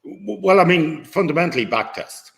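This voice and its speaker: A man's voice: a little high, lively intonation, moderate pace, gravelly, fairly bright tone. A man speaking as a guest market maker in a casual conversation, rambling with many fillers and blunt swearing. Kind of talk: casual video-call conversation. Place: at home in his city.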